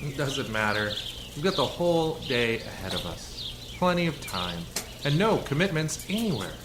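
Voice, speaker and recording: Man as an easygoing companion with lively swoops. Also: mid-range voice, normal speed, natural voice. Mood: relaxed, breezy. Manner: breezy, reassuring. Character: easygoing male companion on a country outing. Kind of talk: radio drama acting. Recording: loud birdsong and vehicle noise.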